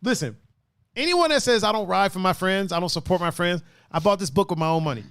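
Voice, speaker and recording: Chill guy hosting a podcast, reads chat comments, laughs a lot, bit high voice. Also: fast talker, clear, resonant tone, no echo, studio quality. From not from Cleveland. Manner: laid-back, laughs often, animated pitch swings. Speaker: podcast host. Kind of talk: casual chat, reading out live comments. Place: podcast studio.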